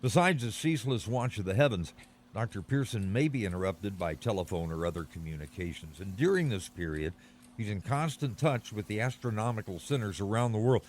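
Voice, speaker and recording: An actor playing an eager, courteous radio commentator in a 1930s style radio drama, speaking in a formal announcer's manner. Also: male voice, lowish voice, animated, brisk, darkish tone, slight background noise, lightly acted. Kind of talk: 1930s radio drama, live news interview.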